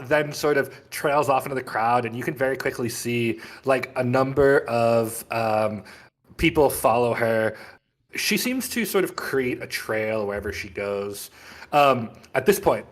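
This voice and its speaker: A man with a mid-range voice works as a game master. He narrates a tabletop roleplaying game, describing characters and scenes with dramatic, descriptive phrasing. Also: medium pitch.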